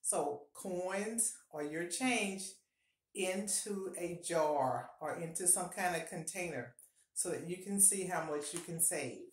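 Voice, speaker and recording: A woman's voice: lowish voice, slow pace. Encouraging woman in an echoey bathroom. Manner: upbeat, encouraging, repeats key advice. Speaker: personal finance vlogger. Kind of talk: casual instructional vlog. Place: small home bathroom, indoors. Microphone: fixed camera at close range.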